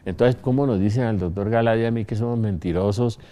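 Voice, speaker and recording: Older Colombian man, low mellow voice. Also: a little fast. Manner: anecdotal, emphatic, rhetorical questions. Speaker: religious speaker. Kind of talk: informal religious talk to an audience.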